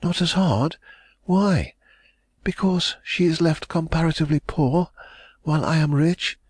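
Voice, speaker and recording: A man's voice: fairly high pitch, unhurried, breathy edge, dry recording, pitched above the performer's own voice. A man doing an agitated young heiress. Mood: anxious. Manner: emotional, breathless pleading. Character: anxious young rich woman, agitated.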